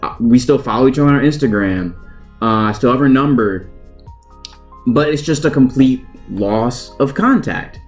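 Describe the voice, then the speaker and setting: A young man talks in a casual storytime vlog style at a medium pitch. He is conversational and slangy, readily self-deprecating. Faint guitar music plays behind his voice. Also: lively intonation, normal speed. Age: young adult. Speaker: vlogger.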